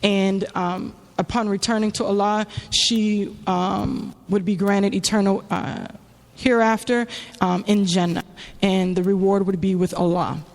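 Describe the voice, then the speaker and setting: A woman giving a semi-prepared religious talk in a halting, reflective way, telling a story with frequent pauses and fillers. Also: mid-range voice, fairly even intonation. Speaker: speaker giving a religious address. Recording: long hall reverb.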